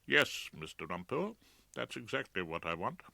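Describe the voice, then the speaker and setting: A man voicing a well-bred British father in his early sixties, bereaved, measured and gentle in an audiobook. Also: on the low side, big pitch swings, vocal fry, dry recording, heavily acted. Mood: quietly earnest. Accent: British English. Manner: measured, gentle, without rancour.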